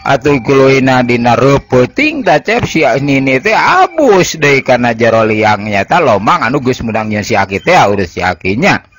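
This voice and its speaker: Animated man telling a folk tale. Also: medium pitch, normal speed. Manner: animated, chuckling storytelling. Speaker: storyteller. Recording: noisy home recording.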